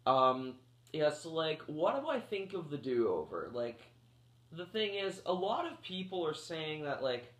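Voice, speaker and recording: A man's voice: fairly high pitch, very animated, unhurried. A man around thirty reviewing a movie in a loose, rambling way, full of fillers and restarts, while getting over a cold that makes him cough. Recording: echoey room.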